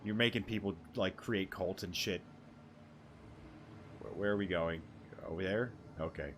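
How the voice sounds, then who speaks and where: A man's voice: low-pitched, a little slow, fairly bright tone, slight background noise. A young man giving casual gameplay commentary, rambling and thinking aloud in slangy, sweary speech. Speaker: gaming YouTuber.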